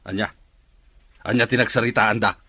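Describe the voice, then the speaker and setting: A man with an Ilocano accent playing a gruff, disapproving middle-aged father in a radio drama. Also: fairly low pitch, steady tone, normal speed, sharp tone, dry recording. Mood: scornful.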